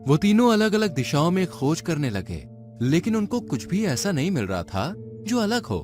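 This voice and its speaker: A man's voice: a little high, big pitch swings, normal speed, a little mellow. A man narrating a scripted dramatic story in a suspenseful storytelling manner. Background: background music.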